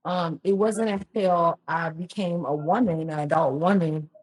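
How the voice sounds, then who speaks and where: A woman's voice: lowish voice, measured pace, a little mellow, slightly rough, poor audio. A woman, a podcast guest telling her life story in a chatty way, full of ums and restarts. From Louisiana, US. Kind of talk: casual storytelling interview.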